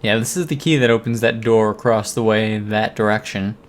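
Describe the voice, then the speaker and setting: A man commentating his own game playthrough, relaxed and chatty, a bit hoarse from losing his voice. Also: on the low side, a little fast. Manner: relaxed, chatty, talks to viewers. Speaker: gaming commentator. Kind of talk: let's play commentary.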